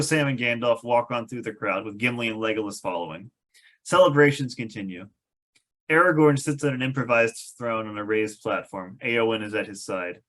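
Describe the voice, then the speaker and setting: A man's voice: medium pitch, a bit bright. Guy narrating a script table read, wry, kinda amused, reads stage directions w little asides.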